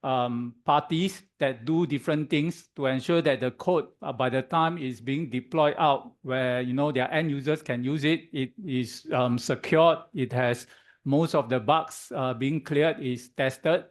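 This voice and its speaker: A man with a hoarse voice giving a presentation at an evening meetup in an office room. He speaks haltingly with many "um"s and keeps checking in with the audience with "okay?". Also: medium pitch. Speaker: guest speaker at a tech meetup. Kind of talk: conference presentation.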